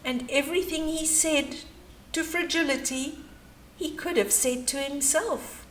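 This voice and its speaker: An older woman reading a picture book to children as an expressive bedtime story, with chatty asides. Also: high-pitched, measured pace. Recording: roomy echo, faint background noise.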